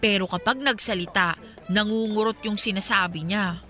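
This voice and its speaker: A woman's voice: medium pitch, normal speed, pushed and bright, soft background music, natural voice. An actress playing a friendly, teasing young woman chatting with her best friend in a Filipino radio drama. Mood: chatty, curious.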